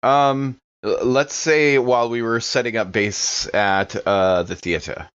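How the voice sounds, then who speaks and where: A man's voice: mid-range voice, fairly even intonation, measured pace, a bit bright, smooth, no echo, dull audio. A man playing a tabletop roleplaying game, casually improvising narration and searching for his words. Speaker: player in an actual-play podcast.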